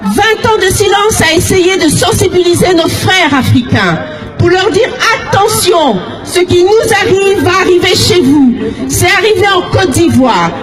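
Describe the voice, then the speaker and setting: A woman from the Democratic Republic of the Congo giving an impassioned public speech as an activist, shouting her words slowly and emphatically. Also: very high-pitched, animated, low-quality recording. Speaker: activist speaker. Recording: crowd and music audible behind. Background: crowd noise and music.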